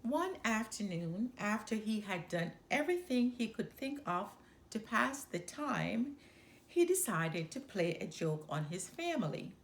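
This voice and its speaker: A woman reading a children's story aloud as a storyteller, with deliberate, expressive phrasing. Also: mid-range voice. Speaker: storyteller, channel host.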